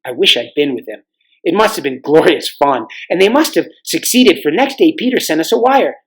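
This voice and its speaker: A man's voice: fairly high pitch, lively intonation, brisk, gravelly, fairly bright tone, poor audio. A man voicing a chatty, eager schoolboy in a storybook, explaining things informally. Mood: excited recounting.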